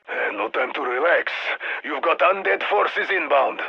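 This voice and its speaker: Gravelly Russian military commander. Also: male voice, a little high, lively intonation, measured pace, lo-fi sound, heavily acted. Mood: commanding, urgent. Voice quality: gravelly, husky. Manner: commanding, dry wry asides. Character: gruff Russian male military squad commander, wry and resolute. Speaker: mission commander giving radio briefings. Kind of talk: scripted video game voice lines. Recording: band-limited military radio sound.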